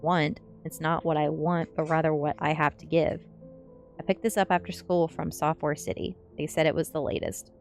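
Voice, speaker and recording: Woman voicing a goth teen girl, sardonic, emphatic, low register, storybook reading, faint music. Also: steady tone, normal speed, vocal fry, a little mellow. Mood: sly. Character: sardonic, dramatic, stubborn goth teenage girl.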